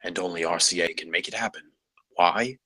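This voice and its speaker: A man playing a composed, wizened designer in his sixties at a script table read, husky and assured. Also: low voice, brisk, bright, forceful tone, no room sound. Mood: showman-like. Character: wizened 66-year-old veteran designer, composed and focused.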